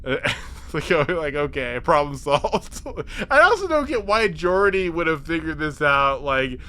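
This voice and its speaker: Bright-voiced man recapping, halting and animated. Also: on the high side. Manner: halting, repetitive, profane. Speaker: podcast host. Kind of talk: casual comedic episode recap.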